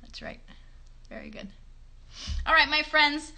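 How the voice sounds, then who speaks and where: A woman in her thirties with a fairly high, bright voice. She talks to children as a show host, reassuring them and offering plenty of encouragement. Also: huge pitch swings, unhurried, vocal fry. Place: bedroom. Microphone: phone a short distance away.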